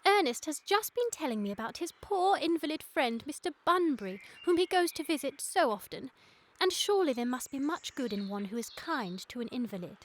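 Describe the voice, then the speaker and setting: A woman's voice: high voice, sing-song, fairly quick, slight background noise. A woman playing an earnest, coaxing young Victorian lady in a radio period comedy, pleading and emphatic in formal words.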